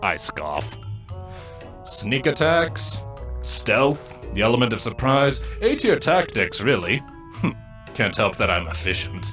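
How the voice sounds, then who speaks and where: A man playing a smug, gruff older-brother changeling, a sardonic insect creature, narrating his story in a dry, taunting way. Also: sits low, unhurried, pushed and bright, faint music behind. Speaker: first-person narrator and character. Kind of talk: dramatic first-person story narration.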